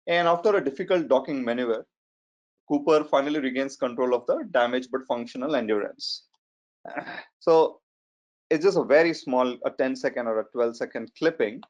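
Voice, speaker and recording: A man in his forties with a strong Indian accent, giving an online lecture in a measured, hesitant way with fillers. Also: medium pitch, darkish tone. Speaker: data science trainer. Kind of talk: webinar lecture. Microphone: computer mic on a video call. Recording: slightly muffled online webinar audio.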